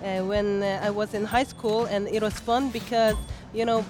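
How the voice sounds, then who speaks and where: A woman's voice: mid-range voice, flat delivery. Young Korean-accented woman guest, careful phrasing, live booth with background music. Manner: careful, measured speech with frequent hesitations. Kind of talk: casual interview at a book fair. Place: busy fair hall.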